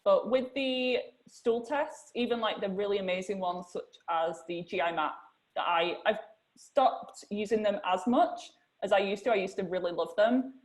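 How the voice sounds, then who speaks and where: A woman's voice: medium pitch, moderate pace, rough recording. A young woman hosting a podcast interview, chatty and using "like" a lot as she asks questions. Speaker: host.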